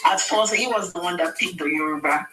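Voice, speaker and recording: A woman's voice: lowish voice, a little fast, bright, forceful tone, roomy sound, recorded from a distance, faint music behind. A Nigerian woman speaking as a radio guest, giving earnest, pleading advice in a preacherly way. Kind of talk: impassioned advice on air. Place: radio studio.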